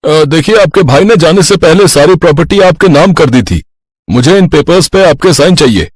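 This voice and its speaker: A man with a husky voice voicing polite everyday men in a cartoon moral story. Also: on the high side, expressive, moderate pace, dry recording. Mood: businesslike. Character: polite everyday men: father, lawyer, customer.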